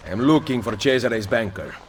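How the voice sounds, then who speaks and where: An actor playing a terse, serious Renaissance assassin with an Italian accent in a dramatic video game. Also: male voice, mid-range voice, moderate pace, slight background noise, natural voice. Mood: curt, businesslike.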